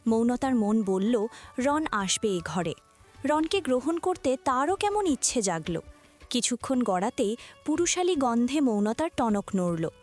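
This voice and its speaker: A woman's voice: a little high, big pitch swings, measured pace, a little mellow, soft background music. A woman reading scripted narration for a romantic family audio story.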